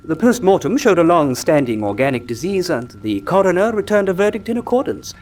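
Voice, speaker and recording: An actor playing an earnest country doctor who tells a grim tale gravely and articulately in a radio drama. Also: male voice, sits high, big pitch swings, brisk, a little mellow. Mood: earnest, factual.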